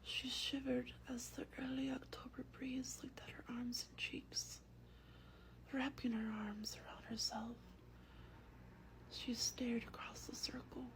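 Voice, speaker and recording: A woman playing an affectionate vampire in a soft-spoken ASMR roleplay, with a breathy whisper and a tender, unhurried, intimate manner. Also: on the high side, low-quality recording. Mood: hushed storytelling. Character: affectionate female vampire soothing her human darling.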